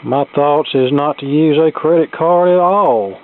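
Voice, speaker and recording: A man's voice: on the high side, measured pace. A man giving casual, plainspoken commentary as he presents a radio repair, in a folksy way. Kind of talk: casual workbench repair narration. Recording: phone-like lo-fi sound, music behind. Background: tube AM radio playing.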